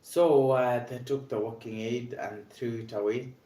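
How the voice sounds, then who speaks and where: A man's voice: mid-range voice, steady tone, unhurried, warm tone. Earnest Kenyan man in an echoey room. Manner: earnest, repeats "basically" and "uh", frequent restarts. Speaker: vlogger with multiple sclerosis. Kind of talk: personal awareness vlog, storytelling.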